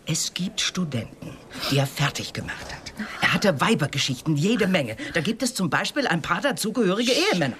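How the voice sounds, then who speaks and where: An actor in a radio drama playing an exasperated colleague who argues emphatically and insistently, often breathy. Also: mid-range voice, very animated, brisk, a bit bright, a little hiss or hum.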